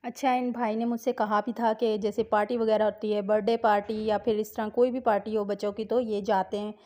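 A woman hosting her own vlog, talking in a chatty, unhurried way with small fillers. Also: mid-range voice, little pitch movement, a little mellow. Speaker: vlog host. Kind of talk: casual vlog voice-over.

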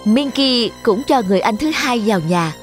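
Female narrator for a children's fairy tale, slightly high voice, music playing behind. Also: expressive, moderate pace. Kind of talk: scripted children's story narration.

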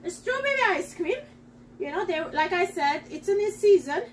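Middle-aged woman, very high voice, excitable home-kitchen demo host, slightly off-mic. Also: moderate pace, distant mic, a little hiss or hum. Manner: chatty and excitable, talks straight to viewers. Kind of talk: live cooking demonstration. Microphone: camera across the counter.

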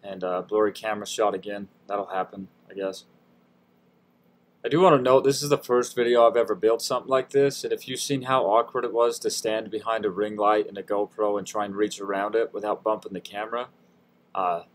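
A man with a fairly low voice talks through a hands-on tutorial as a voice-over. His delivery is informal and folksy, with a self-deprecating, easygoing way of explaining each step. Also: steady tone, brisk, a little hiss or hum. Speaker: DIY tutorial host. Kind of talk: casual how-to voice-over narration.

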